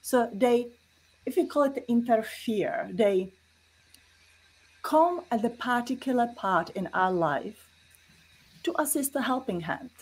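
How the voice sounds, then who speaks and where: A woman's voice: fairly high pitch, lively intonation, slow, long pauses, breathy edge, poor audio. A woman with a Czech accent, a guest in an interview, explaining her views earnestly with many fillers. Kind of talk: casual interview talk.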